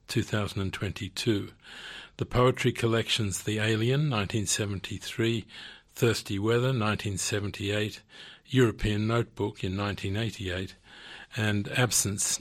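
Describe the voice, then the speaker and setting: A man interviewing a guest, reading an introduction and asking measured, prompting questions. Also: lowish voice, no room sound.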